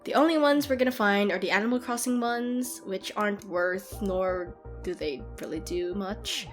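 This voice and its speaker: Excitable retro-gamer girlfriend, played by a woman, mid-pitched, playful and teasing, casual audio roleplay over background music. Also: moderate pace, close to an everyday voice. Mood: nerdy, explaining. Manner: giggly, playfully ranting, animated casual talk. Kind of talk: audio roleplay, casual one-sided chat to a partner. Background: game-like ambient music.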